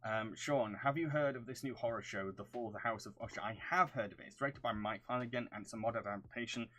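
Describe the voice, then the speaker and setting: A man's voice: medium pitch, fast, a bit bright. A young man hosting a live question-and-answer stream, reading out viewer questions and answering in a loose, chatty way. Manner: reads out questions, answers with fillers and restarts. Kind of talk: casual live Q&A.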